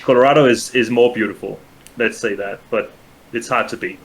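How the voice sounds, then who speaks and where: A man's voice: medium pitch, brisk, a little hiss or hum, low-quality recording. A young man whose first language is Danish, a guest in a casual chat, speaking with many fillers and restarts. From Denmark.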